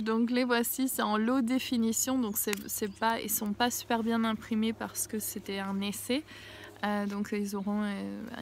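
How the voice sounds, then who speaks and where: A woman with a head cold doing a casual spiritual card reading outdoors. She pauses often with fillers and sometimes drops to a hushed near-whisper. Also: medium pitch, a little hiss or hum. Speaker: oracle card reader. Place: outdoors in a small mossy spot with violets.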